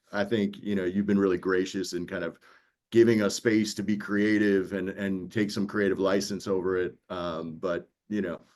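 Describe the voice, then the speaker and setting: Guy from new york, lowish voice, casual producer chat, tells stories with little quotes. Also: darkish tone. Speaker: audiobook producer. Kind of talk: casual panel conversation.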